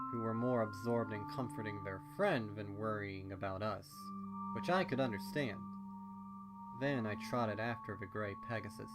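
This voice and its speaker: A man giving an expressive first-person reading of a fan fiction story as narrator. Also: fairly low pitch. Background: music under the voice.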